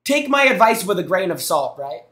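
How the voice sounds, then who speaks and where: A man's voice: high voice, big pitch swings, normal speed, a bit bright, roomy sound. A young man streaming on a headset mic in a small room, reacting to gameplay clips with teasing jokes and thanking his chat. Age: young adult. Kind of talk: casual live-stream commentary.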